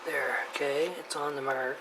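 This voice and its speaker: A person talking casually and informally while showing hands-on work, rambling and trailing off mid-thought, with a medium-pitched voice. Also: fairly even intonation, normal speed. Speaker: hobbyist showing a radio repair. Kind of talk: casual hands-on video narration. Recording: clearly noisy amateur handheld recording.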